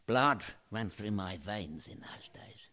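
An actor playing a wry, regretful middle-aged husband, a washed-up painter, in a theatrical radio play style. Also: male voice, fairly low pitch, animated, slow, pushed and bright, heavily acted. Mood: wistful, regretful. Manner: wistful, theatrical.

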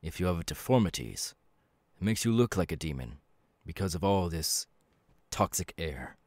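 Demon warrior, male actor, audio roleplay: low-pitched, knowing, calmly menacing, halting dramatic delivery, dry recording. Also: no room sound. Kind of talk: ASMR audio roleplay monologue.

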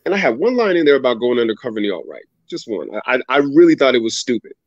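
Male guest, 30s, dramatic animated storyteller, webcam at home, flat dull dry audio. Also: medium pitch, rapid-fire, no echo. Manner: animated, dramatic storyteller who acts out quoted voices. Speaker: guest speaker. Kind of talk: storytelling interview answer. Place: home room with ceiling fan, indoors. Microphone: video call webcam.

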